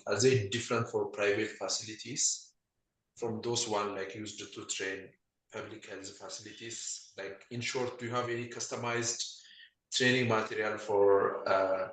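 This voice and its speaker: A man from Ethiopia asks questions on a video call in a halting way, filling gaps with "like", and his mic sounds faint and muffled. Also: lowish voice, a bit bright, reverberant. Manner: halting, heavy use of "like" fillers. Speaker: webinar participant asking questions.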